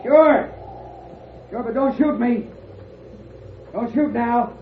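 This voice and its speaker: A man's voice: extremely high pitch, takes it slowly. An actor playing an outlaw gunman, blustering and easily cowed, in a 1950s radio Western. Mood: alarmed, cowed. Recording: old radio, tinny, noisy, echoey.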